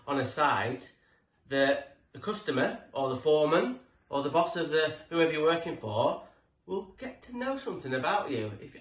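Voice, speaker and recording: A man's voice: fairly high pitch, fairly bright tone. A British man in his forties speaking casually and chattily to camera as a tradesman giving informal, rambling tool tips. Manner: chatty, rambling, matey, mildly sweary. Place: living room, indoors. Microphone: camera a few feet away. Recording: echoey room, distant mic, background noise.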